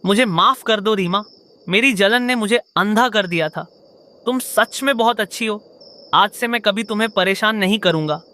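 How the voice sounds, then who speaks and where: A woman's voice: medium pitch, moderate pace, fairly bright tone, natural voice. A woman voicing a grumpy male bird thief in a Hindi cartoon. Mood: remorseful.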